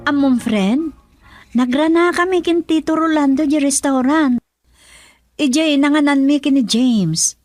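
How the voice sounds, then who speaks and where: A woman's voice: sits high, unhurried, a little mellow, soft background music. A Filipino woman acting in a radio drama, playing an outspoken woman protective of her grandchild. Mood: indignant, confiding.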